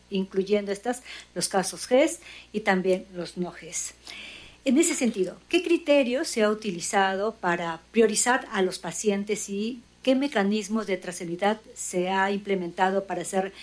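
A woman chairing a session, putting formal questions with occasional fillers. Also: medium pitch.

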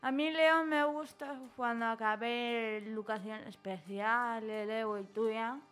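Slow-spoken shy young woman. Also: a little high, steady tone, a bit bright, faint music behind. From Spain. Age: 20s. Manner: brief, shy answers. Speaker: radio guest. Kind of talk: casual radio interview.

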